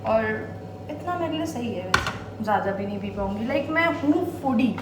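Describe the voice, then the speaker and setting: A woman's voice: fairly high pitch, lively intonation, normal speed. Young woman, home vlogger, breezy chatter, echoey room with noise behind. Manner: chatty, mixes English words into Hindi. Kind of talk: casual vlog chat. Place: home kitchen.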